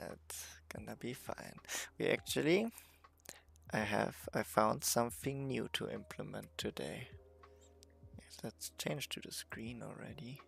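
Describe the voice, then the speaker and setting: Hoarse guy streaming from an untidy uni office, chill and jokey, super gravelly, takes his time. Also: mid-range voice. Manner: relaxed, chatty, self-mocking, trails off into asides. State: hoarse, recovering voice. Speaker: game developer streaming his work. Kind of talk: casual livestream chat. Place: messy university office.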